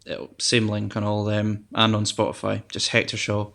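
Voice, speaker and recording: A young man with a soft voice, a guest musician chatting casually in an interview, relaxed and hesitant with many ums and restarts. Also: fairly low pitch, normal speed, creaky.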